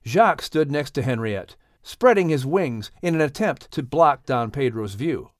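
A man reading a scripted audiobook story with a dramatic, expressive manner. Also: mid-range voice, moderate pace, no echo, studio quality. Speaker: audiobook narrator.

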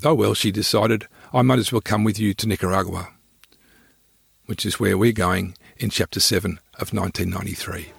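Male travel-memoir narrator, husky and gravelly, measured scripted storytelling. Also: steady tone, no echo, clean studio sound. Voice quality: low, husky, creaky. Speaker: storyteller and podcast host reading own travel memoir.

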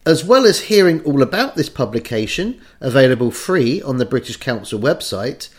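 Lively-voiced male podcast host. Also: mid-range voice. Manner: clear, measured read-out delivery. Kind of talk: scripted podcast narration.

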